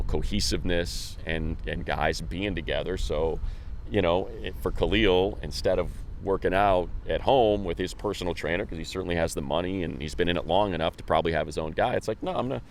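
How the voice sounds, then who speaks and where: A man's voice: deep voice, slight background noise. An American man co-hosting a sports talk show in a casual, conversational way, rambling a little as he thinks aloud. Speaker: sports radio co-host.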